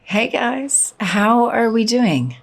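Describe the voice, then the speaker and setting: An actress playing a caring, weary schoolteacher in an audio drama, with a husky voice. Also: female voice, fairly high pitch, expressive, takes it slowly, a little hiss or hum. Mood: reassuring. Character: caring, weary female schoolteacher looking after teenagers.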